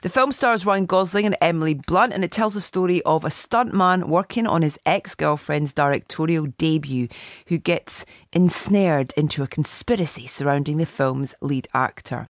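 A middle-aged woman hosting a film podcast, chatty and a bit croaky, reading out a listener's email. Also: deep voice, normal speed, no echo, clean studio sound. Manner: chatty, warm asides, reads listener email. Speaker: podcast host. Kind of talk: casual podcast chat.

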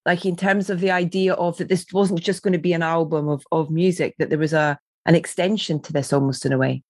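A woman hosting a podcast, her voice a little gravelly, talking in a chatty, effusive way with many ums and restarts. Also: fairly low pitch, fairly even intonation, brisk, no room sound, clean studio sound. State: slightly gravelly, tired voice. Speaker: podcast host. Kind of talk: casual podcast chat and interview.

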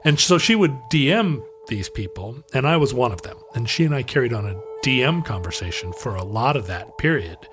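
A middle-aged man, co-hosting a podcast and telling stories casually in a halting, wry way. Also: mid-range voice, very animated, music in the background. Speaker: podcast co-host. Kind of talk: casual conversational storytelling.

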